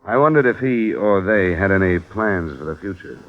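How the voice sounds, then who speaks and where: A hard-boiled investigator who narrates and questions in a terse, matter-of-fact way. His voice is very low, and it comes through a muffled old radio broadcast. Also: lively intonation, moderate pace. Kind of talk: 1950s radio drama narration.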